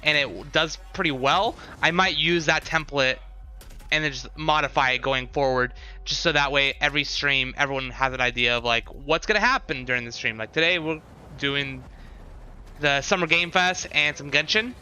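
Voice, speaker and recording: A man's voice: fairly high pitch, normal speed, sharp tone. A man chatting casually to viewers as a livestreamer, giving commentary with sarcastic, unimpressed asides.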